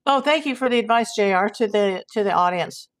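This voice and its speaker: A woman hosting a casual livestream, hoarse and losing her voice, rambling in exasperation while she reads viewers' comments. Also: mid-range voice, expressive, a little fast. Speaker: YouTube livestream host.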